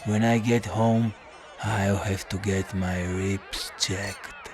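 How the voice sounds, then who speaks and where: A world-weary, hard-drinking detective voiced by a male actor tells his story with deadpan wit. His voice sits fairly low and moves slowly, as in a noir radio play, over clearly audible music. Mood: weary, wry. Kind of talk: first-person noir audio drama narration.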